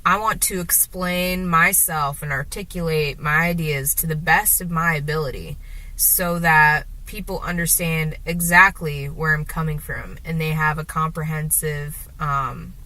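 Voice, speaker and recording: Slow, gravelly-voiced woman talking while driving. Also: fairly even intonation, bright, forceful tone. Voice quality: gravelly, deeper voice. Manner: hesitant, many fillers and restarts. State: sore throat. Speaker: vlogger. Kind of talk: rambling casual monologue while driving. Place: in a car.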